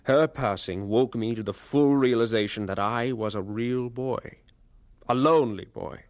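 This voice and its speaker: A man's voice: medium pitch, normal speed, no room sound, lightly acted. An actor playing a grown man who looks back on his boyhood, narrating an audio drama in a solemn, reflective way. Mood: sorrowful. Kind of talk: dramatized first-person narration.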